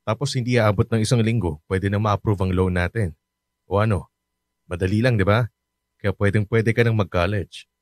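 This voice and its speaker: A man playing a hardworking fifty-year-old taxi-driver father in a radio drama, speaking gently and reassuringly. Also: fairly low pitch, normal speed, dry recording, clean studio sound, lightly acted. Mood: caring.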